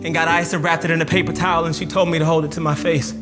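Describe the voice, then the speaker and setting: An actor playing a wounded, reflective man recounting a painful childhood memory in a theatrical memoir monologue, with deliberate pauses. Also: on the high side, little pitch movement, loud music behind the voice, lightly acted. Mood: hurt, remembering. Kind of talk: filmed dramatic monologue reading, first-person memoir.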